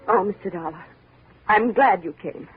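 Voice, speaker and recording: A woman's voice: medium pitch, normal speed, faint music behind, lightly acted. A woman playing a sultry widow with affected, theatrical grief in a 1950s radio detective drama. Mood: mock grief. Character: sultry, falsely grieving widow with a hard streak. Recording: dull, faintly noisy old radio recording.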